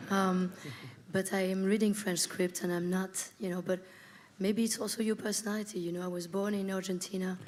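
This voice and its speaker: A woman's voice: mid-range voice, little pitch movement, normal speed, darkish tone. A woman producer who grew up in Spain, answering a panel question in a thoughtful, hesitant way with many fillers. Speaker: film producer.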